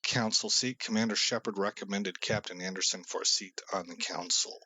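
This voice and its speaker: A man's voice: sits low, steady tone, brisk, slightly rough, dry recording. A man hosting a gaming channel, giving casual playthrough commentary. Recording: loud game music behind the voice.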